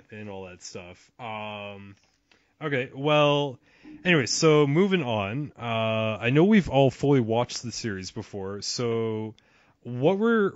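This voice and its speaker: A man's voice: lowish voice, dry recording, lo-fi sound. A young man in his twenties hosting a casual podcast, laughing often and swearing offhand. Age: 20s. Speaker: podcast host.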